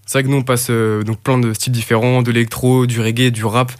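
Animateur radio, plein de euh, ton relax, voix moyenne, son bien sec. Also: male voice, medium pitch, little pitch movement, a little fast, no echo, studio quality. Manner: filler-heavy, frequent hesitations. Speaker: radio host. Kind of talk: casual live radio presenting.

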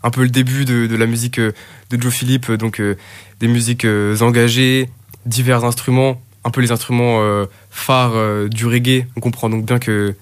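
A man's voice: medium pitch, little pitch movement, normal speed, no room sound. A man hosting a live radio show, presenting casually, with frequent hesitations and fillers. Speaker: radio host.

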